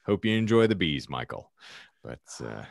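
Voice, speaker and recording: A man's voice: deep voice, very animated, moderate pace, creaky, no echo, studio quality. A man hosting a casual podcast with florid, tongue-in-cheek, wordy phrasing. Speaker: podcast host. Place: indoors over a video call.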